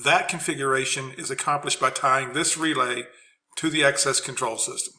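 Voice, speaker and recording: A man's voice: mid-range voice, steady tone, moderate pace, sharp tone. A man giving an unscripted instructional presentation as a trainer, explaining things plainly.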